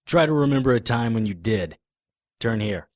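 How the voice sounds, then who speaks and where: An actor playing a deadpan, hardboiled private investigator, narrating and speaking in a noir audio drama. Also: male voice, medium pitch, normal speed, no echo, studio quality, close to an everyday voice. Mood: wry. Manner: deadpan, wisecracking.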